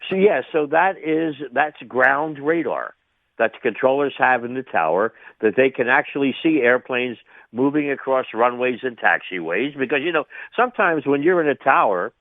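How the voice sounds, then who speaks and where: A man's voice: mid-range voice, animated, normal speed, no room sound. Older man on a tinny phone line. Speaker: retired air traffic controller, interview guest. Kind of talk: spontaneous radio interview commentary. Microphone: phone call to a radio show.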